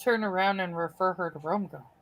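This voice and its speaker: A woman's voice: fairly low pitch, fairly quick. A woman in a casual podcast chat, blunt and frequently laughing.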